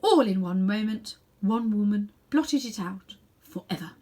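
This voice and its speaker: A woman's voice: mid-range voice, lively intonation, measured pace, warm tone. A woman reading a children's storybook, voicing a proud, ruthless sorceress queen with haughty, emphatic, declamatory delivery. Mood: grand, boastful.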